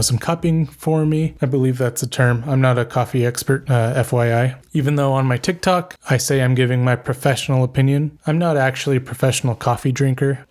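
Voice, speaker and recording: Male podcast host, mid-range voice, relaxed conversational delivery, rambling and a little self-deprecating. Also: mid-range voice. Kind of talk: casual solo podcast talk.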